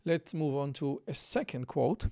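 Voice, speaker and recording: A man with a thick Israeli accent talks through a news article as a podcast host, earnest and repeating his key points. His voice sits a bit high and has a gravelly edge. The sound is dry and close, with no room around it. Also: animated, measured pace, darkish tone, no room sound. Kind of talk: commentary reading out a news article.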